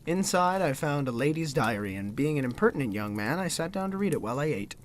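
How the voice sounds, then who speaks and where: An actor playing an impertinent, bumbling young gentleman, narrating a gothic parody audio drama in formal, wordy style. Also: a little high, sing-song, fast, slight background noise. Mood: earnest storytelling.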